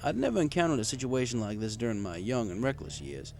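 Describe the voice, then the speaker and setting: A man's voice: medium pitch, big pitch swings, fast talker, vocal fry, darkish tone. A man reading a first-person story in a wry, conversational way. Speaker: story reader. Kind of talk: first-person story narration with acted dialogue.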